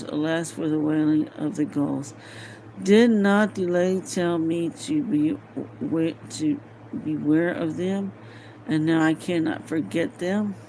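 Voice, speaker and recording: A woman reading a novel aloud informally, halting and stumbling over words, with muttered casual asides to herself. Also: sits low, warm tone, a little hiss or hum, low-quality recording. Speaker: amateur reader of a novel aloud.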